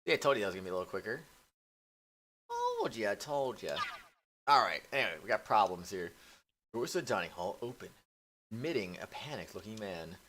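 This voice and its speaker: A man streaming a game, reading its story text aloud in a halting way with self-corrections and casual asides. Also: on the low side, expressive, a bit bright, slight background noise. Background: game music.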